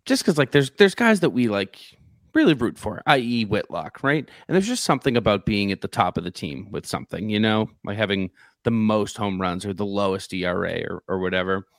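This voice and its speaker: A man co-hosts a sports podcast in relaxed conversational language. His voice sits a little low, and the recording is dry and close, with hardly any room sound. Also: brisk, no room sound. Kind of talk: casual sports chat.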